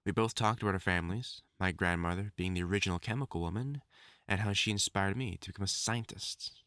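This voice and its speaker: Man playing a lovesick, deep-voiced supervillain. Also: a little fast, a bit bright, dry recording, studio quality. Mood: tender. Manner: formal, theatrical diction, tender endearments breaking into anguished outbursts. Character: lovesick, obsessive male supervillain scientist, unstable and pleading. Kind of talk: dramatic audio roleplay monologue addressed to the listener.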